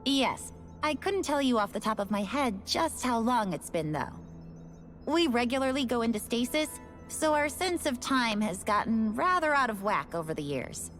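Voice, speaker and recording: A woman's voice: on the high side, sing-song, normal speed, fairly bright tone. An actress voicing a casual, resigned woman station worker in a video game. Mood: offhand. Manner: casual, offhand, matter-of-fact. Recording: game audio with faint music.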